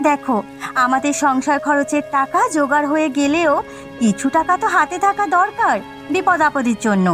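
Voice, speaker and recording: A woman voicing a practical, inquisitive village wife in a cartoon story, with an expressive, probing way of talking. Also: high-pitched, normal speed, faint music behind. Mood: practical advice. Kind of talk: Bengali cartoon story voice acting.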